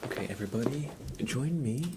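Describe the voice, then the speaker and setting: A man streaming ASMR, chatting softly and gently in a breathy, often whispered voice, unhurried and informal, close to the microphone. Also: mid-range voice, lively intonation, very noisy. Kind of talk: soft-spoken ASMR livestream chat.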